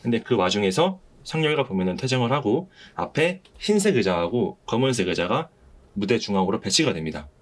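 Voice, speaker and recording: Low-voiced man hosting a casual podcast. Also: sing-song, fairly quick. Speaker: podcast host. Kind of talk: casual podcast discussion of a play.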